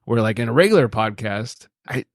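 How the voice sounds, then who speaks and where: A man's voice: medium pitch, expressive, brisk, no room sound, clean studio sound. A man chatting as a podcast guest, laughing often and acting out quoted voices. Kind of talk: casual chat.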